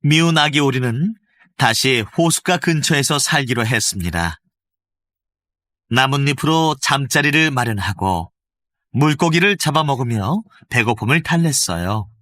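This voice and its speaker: A male voice actor narrating a children's fairy tale with gentle, expressive storytelling, recorded close in a studio. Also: medium pitch, measured pace, no room sound.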